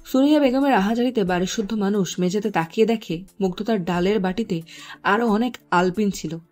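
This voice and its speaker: A woman's voice: medium pitch, fairly quick, a little mellow, faint music behind. A woman reading scripted story narration as the narrator.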